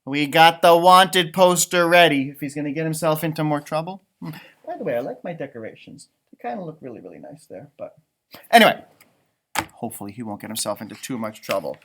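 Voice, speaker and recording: A man doing an expressive children's picture book read-aloud, with chatty asides and musing exclamations. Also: on the high side, fairly quick. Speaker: storytime reader and elementary teacher.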